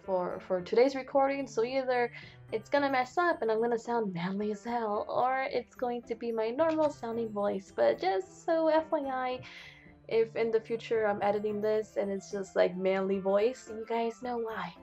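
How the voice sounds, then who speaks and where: A woman's voice: a little high, moderate pace, darkish tone, highs cut off, faint music behind. A young woman hosting a let's play, reading the game's text aloud and reacting casually, with frequent swearing. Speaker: gaming channel host.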